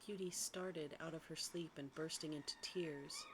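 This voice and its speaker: A woman softly reading a fairy tale as a quiet ASMR bedtime storyteller. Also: low-pitched, fairly even intonation, moderate pace, over music, rough recording. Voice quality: soft, quiet.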